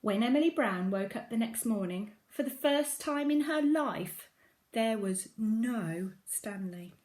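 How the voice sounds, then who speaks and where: High, animated woman reading a storybook. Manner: dramatic, emphatic storytelling with drawn-out key phrases. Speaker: storybook reader at a primary school. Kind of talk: expressive children's story read-aloud.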